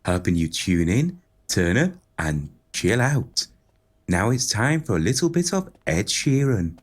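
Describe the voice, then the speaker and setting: An actor playing a naive, dim, excitable young male dreamer in a pantomime audio drama. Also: lowish voice, a little slow, husky, full character voice. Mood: excited, dreamy.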